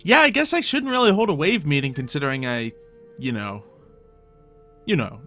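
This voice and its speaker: A man's voice: a little high, animated, normal speed, fairly bright tone. Chatty man thinking aloud, music behind. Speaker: gaming video host playing in character. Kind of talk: casual improvised Minecraft roleplay commentary.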